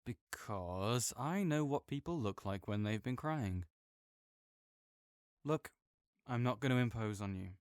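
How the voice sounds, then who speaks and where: A man playing a smooth-voiced caring friend. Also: medium pitch, animated, measured pace, long pauses, dry recording, studio quality, close to an everyday voice. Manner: gentle, reassuring, softly joking. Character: caring, easygoing young male friend. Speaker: friend comforting the listener. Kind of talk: audio roleplay spoken to the listener.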